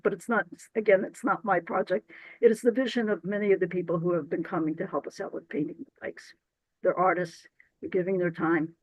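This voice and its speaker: Hoarse woman explaining earnestly. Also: mid-range voice, no echo, low-quality recording. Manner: earnest, self-correcting, restarts phrases. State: hoarse, scratchy voice today. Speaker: grant applicant presenting to council. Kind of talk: explaining her application at a council meeting.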